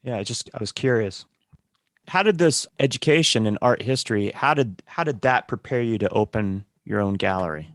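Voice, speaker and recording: A man's voice: lowish voice, lively intonation, moderate pace, no echo, clean studio sound. A man co-hosting a podcast interview, asking the guest curious, leading questions. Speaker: podcast co-host.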